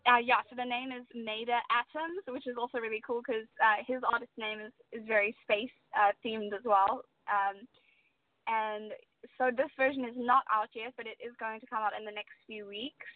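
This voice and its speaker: Woman, South African accent, relaxed interview guest, chatty and giggly, slightly high voice, dry tinny phone-quality audio. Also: normal speed, dry recording. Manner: chatty, laughing easily, many fillers. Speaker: singer-songwriter guest. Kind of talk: casual interview chat.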